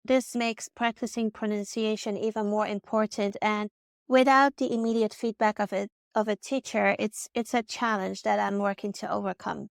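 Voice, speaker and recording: A woman's voice: medium pitch, fairly even intonation, moderate pace, gravelly, no echo, clean studio sound. A woman from the Netherlands with a Dutch accent, narrating a personal vlog in an earnest, reflective way. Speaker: vlogger.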